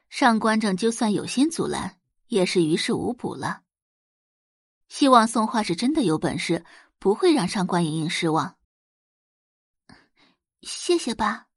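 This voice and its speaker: A woman's voice: mid-range voice, breathy edge, no room sound, clean studio sound. A woman reading dramatic scripted narration as an audiobook narrator.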